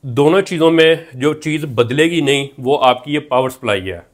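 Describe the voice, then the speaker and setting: A man's voice: a little high, normal speed. A man in his thirties with a Pakistani accent, teaching methodically as a technical instructor and repeating each step. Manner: repetitive, methodical explaining. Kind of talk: instructional tutorial, explaining step by step. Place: indoor room at a whiteboard.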